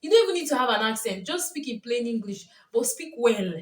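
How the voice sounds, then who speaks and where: A woman's voice: a little high, animated, a little fast. Chatty Nigerian woman, echoey room. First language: Yoruba. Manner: chatty storytelling, often laughing. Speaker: vlogger and coach. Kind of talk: casual advice talk to camera. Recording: roomy, from a distance.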